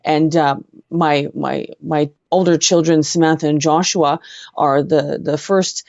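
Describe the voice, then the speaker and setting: A middle-aged woman with a Canadian accent, telling personal stories as an interview guest in an earnest, halting way. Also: sits low, steady tone, creaky, darkish tone, no room sound, lo-fi sound. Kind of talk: personal storytelling in conversation.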